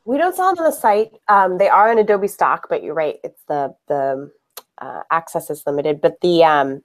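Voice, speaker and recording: A woman from a software team interviewing a user, explaining features patiently and asking friendly questions. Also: low voice, lively intonation, moderate pace.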